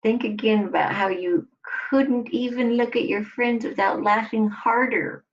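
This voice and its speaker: A woman giving a scripted guided relaxation exercise, instructing deliberately in short phrases with pauses between them. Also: on the high side, steady tone. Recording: dull lo-fi recording.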